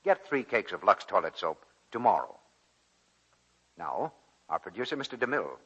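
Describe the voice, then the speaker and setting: A man announcing and pitching products in the polished salesman style of 1940s radio. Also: very animated, normal speed, fairly bright tone, breathy edge. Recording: dull, muffled vintage radio recording.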